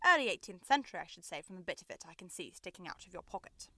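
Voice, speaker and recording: A woman's voice: lowish voice, sing-song, rapid-fire, sharp tone, dry recording, exaggerated voice. A woman playing a shrewd, commanding male detective in an old-style radio mystery, with a dry, superior manner. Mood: skeptical. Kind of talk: 1930s radio mystery acting.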